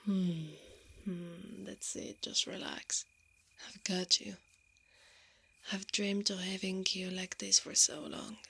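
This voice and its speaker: A hushed, unhurried female knight, acted by a woman in an ASMR roleplay. She is strong and protective, with a tender, teasing temper. Her soft voice often slips into breathy whispering at a medium pitch. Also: flat delivery, full character voice. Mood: soothing, affectionate. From Italy. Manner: slow, intimate, hushed delivery. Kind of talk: ASMR roleplay, intimate scripted acting.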